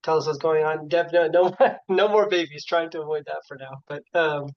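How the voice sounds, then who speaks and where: An upbeat man co-hosts a medical case discussion, reasoning aloud with frequent fillers. His voice sits fairly high for a man. The video call audio is dull and lo-fi. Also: steady tone, normal speed. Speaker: co-host of a case conference, physician. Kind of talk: casual hosting and clinical reasoning.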